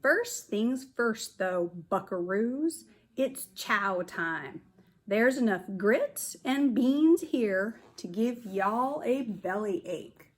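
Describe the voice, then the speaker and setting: A storybook cowboy camp leader, as a woman reader puts him on. He is hearty and folksy, giving orders in a slow, swinging Western drawl. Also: a little high, expressive, heavily acted. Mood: hearty, commanding.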